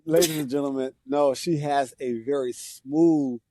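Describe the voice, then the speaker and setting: A man hosting a casual live interview from outdoors, relaxed and chatty, repeating words and laughing along with his guest. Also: mid-range voice, unhurried, no echo. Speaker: show host. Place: outdoors near an airport.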